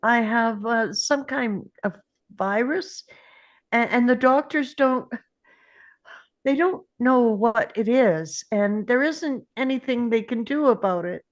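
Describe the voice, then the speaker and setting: A woman reads a candid young mother in a screenplay read. Her voice is fairly high and slow-paced. The delivery stays gentle, plain and a little hesitant. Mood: grave, hesitant. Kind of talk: informal screenplay table read.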